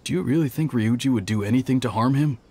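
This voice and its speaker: Measured older policeman. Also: mid-range voice, dark tone, breathy edge. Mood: reflective. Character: older male police officer, measured, sceptical of gossip. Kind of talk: video game voice acting, storytelling dialogue.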